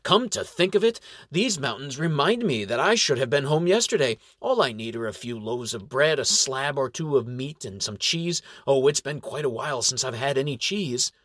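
A man's voice: mid-range voice, expressive, normal speed, few pauses, a bit bright, dry recording, studio quality. A man voicing a wily old gray-haired male elf who haggles with merchant charm. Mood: wheedling. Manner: cheeky, wheedling, merchant charm.

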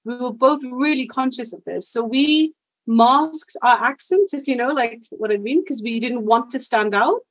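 Brief: young woman, interview guest, chatty with frequent laughs, fairly high pitch, dry close sound. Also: moderate pace, no echo, low-quality recording. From India and the United Kingdom. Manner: chatty, laughs often, many fillers. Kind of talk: casual conversational interview.

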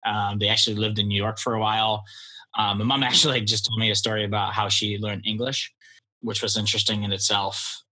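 Polish-born guy, husky and really bright voice, relaxed rambling chat. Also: on the low side, fairly quick, poor audio. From Poland. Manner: relaxed, rambling, with many fillers. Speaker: guest entrepreneur. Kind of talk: casual interview talk.

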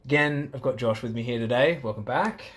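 A man's voice: medium pitch, fairly quick, a bit bright. An Australian man hosts a laid-back podcast with a mid-range voice. He talks in a chatty, joking way and pokes fun at himself. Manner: jokey, chatty, self-mocking. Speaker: podcast host. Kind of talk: casual chat.

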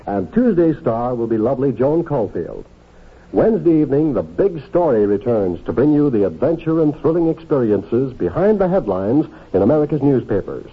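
Animated husky male announcer, tinny vintage radio. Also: mid-range voice. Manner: polished, upbeat sales delivery. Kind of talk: 1950s promotional scripted announcing. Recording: narrow-band, tinny old radio recording.

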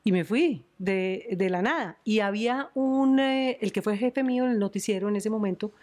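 A middle-aged Colombian woman journalist, animated and chatty, telling stories in a podcast interview. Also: mid-range voice, normal speed. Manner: animated, laughs often, storytelling. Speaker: journalist and news presenter.

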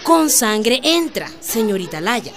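An actress playing a strict, old-fashioned schoolmistress in a radio drama, lecturing with drawn-out emphasis. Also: female voice, on the high side, very animated, fairly bright tone, heavily acted. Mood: stern, admonishing. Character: stern, old-fashioned schoolmistress who believes in harsh punishment.

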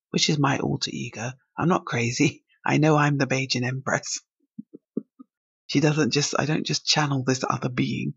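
A woman's voice: extremely low pitch, creaky, breathy edge, dry recording, muffled recording. A woman from England hosting her own podcast, talking alone in a reflective, thinking-aloud way. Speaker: podcast host. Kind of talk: solo podcast monologue.

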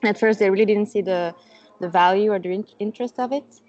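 A woman from Belgium, a native Dutch speaker, talks easily as an interview guest, with frequent fillers and little laughs. Her voice sits at a middle pitch. The sound comes through a dull, lo-fi video call. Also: quick pace, a little mellow. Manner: chatty, laughs often, many fillers.

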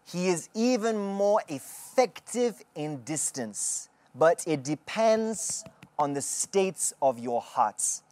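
Female TV prayer host; low, unhurried, sermon-like delivery with a dry studio sound. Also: expressive, a little mellow, dry recording. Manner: measured, emphatic, slow sermon-like delivery. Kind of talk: preaching and interviewing callers. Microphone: studio microphone.

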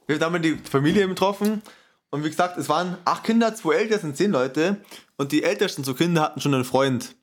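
A man's voice: fairly high pitch, quick pace. A man co-hosting a podcast, chatting casually and telling stories with frequent laughter. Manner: laughs often, animated storytelling. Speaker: podcast co-host. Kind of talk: casual chat.

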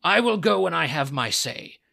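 Casting: man to play menacing old brute of a doctor for an audiobook, furious, shouting, hard-edged forceful delivery. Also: medium pitch, lively intonation, moderate pace, gravelly. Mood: menacing bluster. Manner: snarling, shouting threats. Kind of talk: dramatized audiobook reading.

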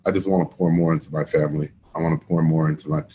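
A man with a very low voice talks in a loose, rambling way, circling back and repeating words as he gathers his thoughts. Behind him is a faint hum of room ambience. He is a former football coach from Miami, speaking as a guest on a podcast. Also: level tone, rapid-fire, dark tone. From Miami, Florida. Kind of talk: casual interview chat.

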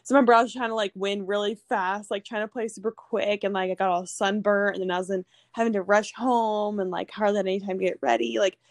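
A young woman chatting as a podcast guest, telling her story with lots of fillers. Her voice sits at a middle pitch. The recording is dry and close. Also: steady tone, quick pace, hardly any pauses, dry recording. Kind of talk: casual chat.

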